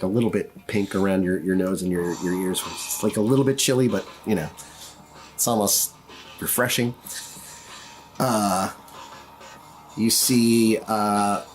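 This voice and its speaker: A man casually narrating a tabletop game as game master, in a halting, improvised way. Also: on the low side.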